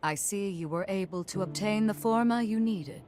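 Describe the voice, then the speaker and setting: A woman's voice: medium pitch, normal speed, music in the background, natural voice. A woman playing a stern, composed noblewoman and mentor in a video game, speaking formally and with authority. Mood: composed, commanding. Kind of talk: video game cutscene acting.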